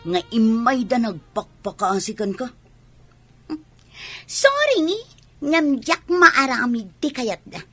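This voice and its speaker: An actress with an Ilocano accent playing a defiant, selfish mistress in a dramatic, haughty radio drama style. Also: female voice, on the high side, animated, slow, long pauses, a bit bright, faint music behind, heavily acted. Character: defiant, selfish mistress torn over her married lover.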